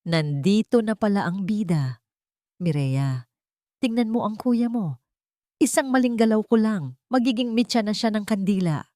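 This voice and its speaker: A woman voicing a desperate, ruthless young villainess with a shrill, menacing delivery in a dramatic audio story. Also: expressive, a little slow, dark tone, no room sound, studio quality, natural voice. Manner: menacing, demanding.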